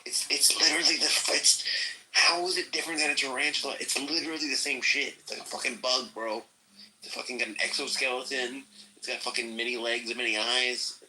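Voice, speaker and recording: A man in a casual chat, talking in a rambling, animated way. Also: medium pitch, a little fast, rough recording. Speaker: podcast participant.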